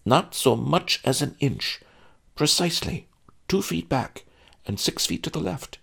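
A worn-out, henpecked old scholar who explains his household's absurdities with sighing resignation, as a man plays him in an audiobook. The voice rides a bit higher than the narrator's very low growl, slow and gravelly. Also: animated, fairly bright tone, heavily acted. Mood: resigned.

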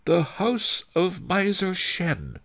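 A man voicing a knowing, scornful woman, a storybook noble nurse, reciting things item by item with deliberate emphasis. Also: high-pitched, huge pitch swings, a bit bright, voice pushed up, heavily acted.

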